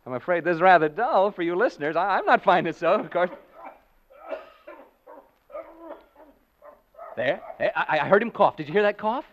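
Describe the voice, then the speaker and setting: Jittery, swooping-voiced American radio broadcaster. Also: male voice, high voice, expressive, quick pace, a little hiss or hum. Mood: jittery worry. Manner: rambling, stammering, nervous laughter. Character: frightened, jittery male radio broadcaster unraveling in a haunted house. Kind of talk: 1940s radio suspense acting. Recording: dull, lo-fi vintage radio recording.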